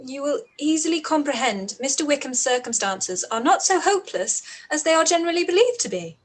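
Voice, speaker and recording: High-voiced, earnest young Regency heroine Lizzy played by an actress. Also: female voice, expressive, fairly quick. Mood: reading aloud, surprised. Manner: earnest, formal period diction. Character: Lizzy, anguished, outspoken young Englishwoman of Regency times. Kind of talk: period radio play live read.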